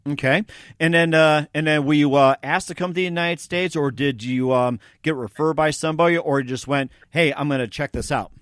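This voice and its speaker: A slightly higher-pitched man hosting a radio interview show, recorded close and dry with no room sound. He pads his sentences with "um", "you know" and "as well, too". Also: brisk, fairly bright tone, smooth, no room sound.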